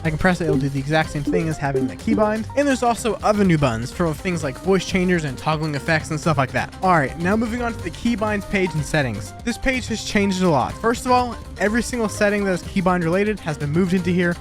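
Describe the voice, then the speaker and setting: A young man giving an informal, chatty, matter-of-fact video walkthrough as a software developer. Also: sits high, expressive, fast, few pauses. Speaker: software developer presenting own app update. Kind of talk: casual scripted video walkthrough. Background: background music.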